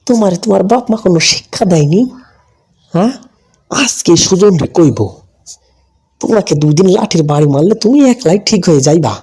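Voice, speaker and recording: A man's voice: high-pitched, lively intonation, brisk, pauses often, slightly rough, exaggerated voice. A man voicing a harsh scolding old father-in-law. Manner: harsh, bossy, shouting rebukes. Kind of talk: cartoon voice acting.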